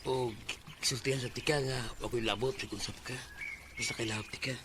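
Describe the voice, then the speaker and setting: A man's voice: mid-range voice, steady tone, a little fast, close to an everyday voice. A man playing an ardent, domineering young suitor in a Bisaya radio drama, with a Cebuano Filipino accent. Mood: ardent, wooing. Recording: noisy radio drama recording.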